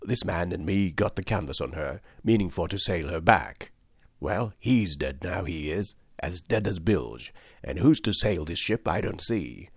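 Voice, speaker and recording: A gruff, sly old pirate sailor, badly wounded and surly, as a male storyteller puts him on. The voice sits very low and dry, with a rough seafaring way of speaking, read as a storybook figure. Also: animated, unhurried, no echo, exaggerated voice. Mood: wheedling.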